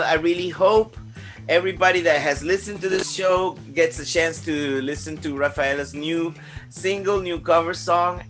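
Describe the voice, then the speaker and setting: A young adult man hosting a podcast interview, talking loosely with lots of "like" and fillers. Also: a little high. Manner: loose, filler-heavy, warm-hearted chatter. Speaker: podcast host. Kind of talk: casual interview chat.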